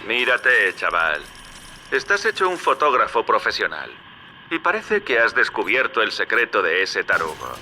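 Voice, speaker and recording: An actor with a Castilian Spanish accent playing a wry, chummy opportunist who guides the player in a video game. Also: male voice, fairly low pitch, wildly swooping pitch, moderate pace, noisy background. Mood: wry, instructing. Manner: familiar, sardonic, instructing. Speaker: radio guide character.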